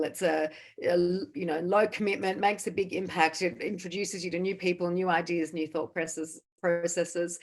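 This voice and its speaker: Female event host; warm-up and introductions, encouraging manner, slightly low pitch. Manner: upbeat and encouraging. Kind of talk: hosting a panel event.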